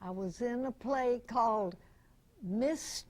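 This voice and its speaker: A woman in her nineties, a veteran actress, reminiscing before a club audience in a folksy, halting, chuckling way. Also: on the high side. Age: 90s. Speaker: veteran radio actress, guest speaker. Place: club meeting room. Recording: noisy amateur meeting recording.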